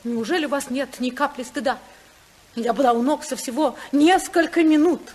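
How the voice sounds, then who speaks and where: A woman acting a senator's wife in a 1950s radio play. Her voice is high, and she is proud and distressed, shifting between pleading and indignant defiance. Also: moderate pace, a little mellow, natural voice.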